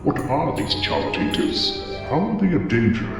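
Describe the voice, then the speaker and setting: A man's voice: mid-range voice, wildly swooping pitch, normal speed. A man playing a wise, patient Jedi leader in a comic dub, speaking solemnly and formally. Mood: questioning. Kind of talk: dramatic acting in comic dub. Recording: big echoing hall, music behind.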